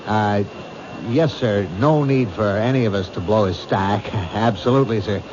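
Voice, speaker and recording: An actor playing a world-weary police captain in a 1970s radio drama, brusque, cynical and matter-of-fact. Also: male voice, fairly low pitch, moderate pace, close to an everyday voice. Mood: exasperated. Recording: muffled, noisy old radio broadcast.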